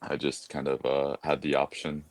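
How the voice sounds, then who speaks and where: A man's voice: rumbling low, completely flat delivery, moderate pace, no echo. A Canadian man in his thirties with a Midwestern accent, chatting casually as a guest and thinking aloud with lots of hesitant fillers. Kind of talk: casual conversation.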